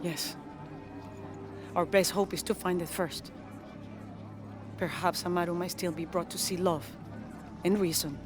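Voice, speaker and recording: An actress playing a widowed rebel queen, speaking in a measured, authoritative way. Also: fairly low pitch, fairly even intonation, over music, natural voice. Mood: grave. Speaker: rebel leader.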